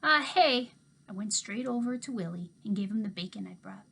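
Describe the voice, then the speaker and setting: A woman teacher reading a children's novel aloud to her class, expressive, dropping to a hush for asides. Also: medium pitch, fast, creaky, slightly rough. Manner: expressive storytelling, hushed asides.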